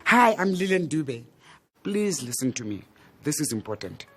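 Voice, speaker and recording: Woman, earnest advert testimonial, expressive pitch, soft music. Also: normal speed. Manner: earnest, pleading.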